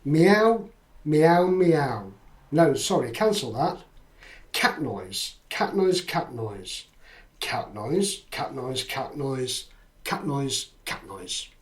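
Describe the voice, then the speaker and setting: An actor playing a cheeky, sarcastic amateur poet in a radio drama, with a mocking manner. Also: male voice, mid-range voice, sing-song, extremely slow, recorded from a distance. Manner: mocking, interrupting.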